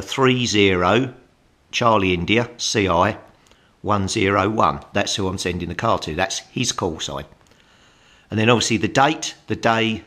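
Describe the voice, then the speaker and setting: A man's voice: on the low side, fairly bright tone. A middle-aged British man giving a casual, plain-spoken tutorial as a hobbyist presenter. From United Kingdom.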